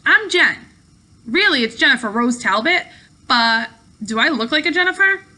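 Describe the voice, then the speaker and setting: A woman's voice: high-pitched, expressive, moderate pace, sharp tone, heavily acted. A woman voicing a bold, breezy, sarcastic young teen girl in a storybook read-aloud. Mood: wry, chatty. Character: bold, flippant young teen girl, mischievous hidden child.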